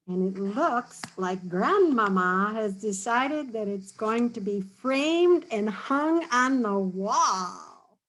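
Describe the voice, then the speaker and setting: A woman's voice: a little high, fairly bright tone, rough recording. A woman reading a picture book aloud to children, then patiently explaining drawing tips and checking in with them often. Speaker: storybook reader and teacher.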